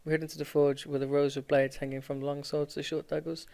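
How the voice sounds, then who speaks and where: A man's voice: a little high, level tone, quick pace, creaky, darkish tone, a little hiss or hum. A male game streamer reading a visual novel aloud, stumbling over the text and dropping in casual, joking asides. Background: game music.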